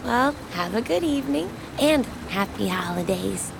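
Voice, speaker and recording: An actress playing a friendly, unsuspecting woman visitor with a creaky voice and a polite, tentative manner in a radio drama. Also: medium pitch, animated, moderate pace, noisy background. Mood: startled, polite.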